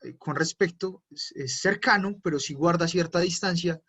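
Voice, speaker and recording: A man's voice: on the high side, normal speed, sharp tone, husky. A man teaching German in an online class, explaining patiently step by step with frequent fillers. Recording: dull lo-fi online class audio.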